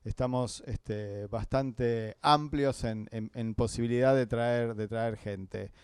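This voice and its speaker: A man's voice: medium pitch, fairly even intonation, slow pace, darkish tone, smooth, no echo. A man with an Argentine Spanish accent giving an informal welcome speech as association president, repeating words as he searches for phrasing. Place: live talk at an association venue.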